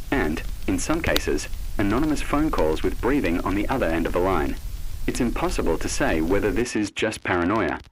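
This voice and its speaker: A man reading scripted horror mystery narration in a measured, ominous, dramatic way. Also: on the low side, a little hiss or hum, poor audio.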